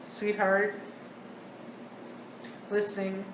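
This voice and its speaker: A woman on a ghost hunt speaks in halting single words, then chats about what she heard. She sounds set back from the microphone in a boomy, echoing cellar. There is loud background hiss beneath her voice. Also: monotone. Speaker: paranormal investigator relaying words she hears. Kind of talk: casual ghost-hunt chatter. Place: old hotel wine cellar, basement. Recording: echoey cellar, distant mic, loud background noise.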